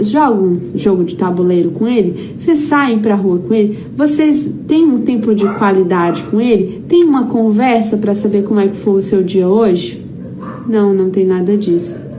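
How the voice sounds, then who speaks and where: A woman's voice: medium pitch, normal speed. A woman with a Brazilian accent giving an informal, anecdote-filled lecture as a therapy teacher, repeating phrases for emphasis. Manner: conversational, emphatic repetition, acts out quoted dialogue. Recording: clearly noisy background.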